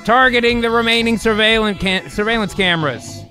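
A man's voice: high-pitched, fairly quick, music in the background. A man hosting and narrating a radio-play style show, mixing narration with casual banter. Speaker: host and narrator. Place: indoor home streaming room.

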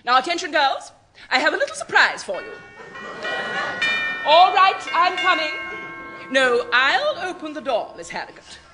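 An actress playing a brisk, jolly headmistress of a girls' school with an English accent, gushing and commanding, in a vintage radio comedy. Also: high voice, sing-song, music in the background. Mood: brisk, excited. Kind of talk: 1960s radio comedy acting. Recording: very noisy old radio recording.

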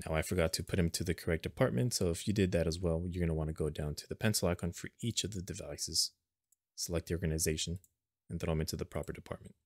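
A man narrating an unscripted step-by-step software tutorial in a plain, instructional manner, recorded as a screen voice-over. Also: low-pitched, fast talker, dry recording, studio quality.

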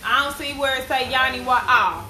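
A woman's voice: high-pitched, a little fast. A woman who owns a boutique, joking around with her friends. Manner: playful, joking. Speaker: guest, boutique owner. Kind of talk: casual chat among friends. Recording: loud noise, echoey room, far from the mic.

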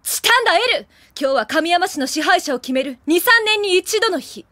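A woman's voice: very high voice, animated, moderate pace, bright, forceful tone, no room sound, exaggerated voice. A woman playing an imperious, haughty teenage sorceress, declaiming pompously in a comedic anime audio drama. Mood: haughty challenge. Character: imperious teenage sorceress, haughty and grandiose rival clan heiress. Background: music.

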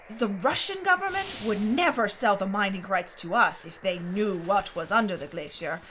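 An actress playing a brisk, secretive mining executive in an audio drama, speaking in a precise, formal way. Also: female voice, fairly high pitch, pushed and bright, poor audio. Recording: clear background noise and ambience.

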